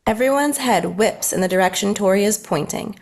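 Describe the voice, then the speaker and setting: A woman's voice: medium pitch, brisk, creaky, a little mellow. A woman narrating stage directions in a scripted table read.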